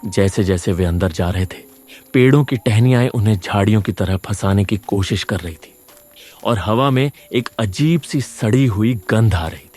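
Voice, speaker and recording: A man's voice: on the low side, normal speed, a little mellow. A man reading a scripted horror story as a dramatic narrator.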